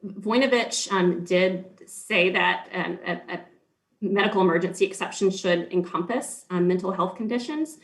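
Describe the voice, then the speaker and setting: A man arguing for the state before an appeals court, formal and measured, with frequent ums and small restarts. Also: sits high, fairly bright tone, poor audio. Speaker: state's attorney. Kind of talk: appellate oral argument.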